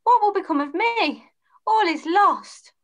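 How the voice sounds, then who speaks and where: A woman's voice: very high-pitched, animated, unhurried. A woman playing a distraught young male pantomime hero, urgent and melodramatic. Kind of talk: script read-through on video call. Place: room at home, video call.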